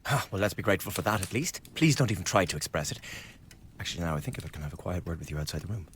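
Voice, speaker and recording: An actor playing a sardonic, contemptuous young man who mocks his father with glib, lively remarks in a radio drama. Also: deep voice, rapid-fire, a little hiss or hum. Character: mocking, cold young man, the returning son.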